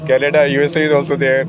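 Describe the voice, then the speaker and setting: A young man from India with an Indian English accent, talking casually as a guest, rambling with lots of "like" and frequent laughter. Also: medium pitch. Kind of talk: casual conversation.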